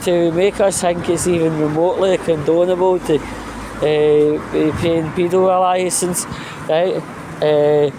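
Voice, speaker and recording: Male vlogger, unhurried and halting, emphatic and foul-mouthed, informal rant, noticeable street-like background noise. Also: fairly high pitch. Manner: blunt, sweary, emphatic. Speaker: vlog host.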